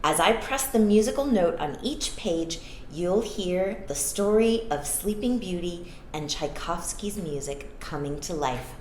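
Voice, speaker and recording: A woman with a slightly low voice reads a children's story aloud as a storytime reader. She speaks in a gentle, teacherly way to young listeners. The room sounds very echoey, with some faint noise in the recording. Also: lively intonation, a little slow, hardly any pauses. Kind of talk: read-aloud children's storytelling with explanatory asides. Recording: roomy echo, some background noise.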